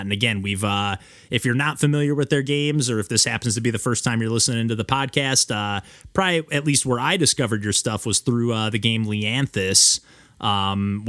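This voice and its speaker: A man's voice: medium pitch, a bit bright, no room sound. Rambling male podcast host on a dry call. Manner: rambling, many filler "like"s and restarts. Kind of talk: casual interview chat. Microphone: remote call.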